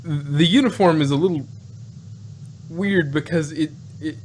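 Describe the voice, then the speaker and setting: A man co-hosting a casual chat, rambling and halting as he restarts and repeats his phrases. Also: a little high, darkish tone. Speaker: podcast co-host. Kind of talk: casual chat, reviewing sci-fi. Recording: noisy home recording with hum.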